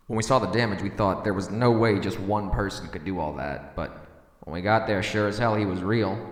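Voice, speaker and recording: Man acting a low-voiced cop in echo. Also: natural voice. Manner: official, wry, plain-spoken. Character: matter-of-fact police officer guarding a prisoner. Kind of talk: cinematic audio roleplay acting. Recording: echoey reverberant room.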